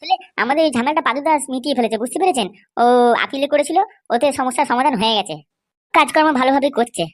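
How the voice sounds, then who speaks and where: A woman's voice: high voice, fast talker, close to an everyday voice. A woman voicing a scheming, eager political henchwoman in a comedy cartoon, deferential yet assertive.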